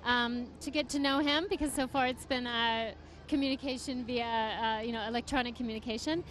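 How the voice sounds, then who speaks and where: A woman's voice: on the high side, fairly even intonation, a little fast, fairly bright tone. A woman from the United States, a guest author, talking with enthusiasm and many fillers in an interview at a book fair. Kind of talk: interview answers.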